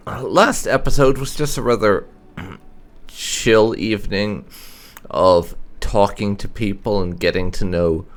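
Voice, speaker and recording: A man giving casual, chatty let's play commentary on a game, musing with hesitant pauses. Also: on the low side. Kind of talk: casual gameplay commentary, reading dialogue choices aloud.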